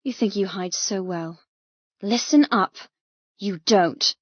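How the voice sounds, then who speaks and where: A woman's voice: medium pitch, takes it slowly, slightly rough, no echo, highs cut off. A woman voicing a bold, defiant young female captive, challenging and emphatic in dramatic audiobook dialogue. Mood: heated, insistent.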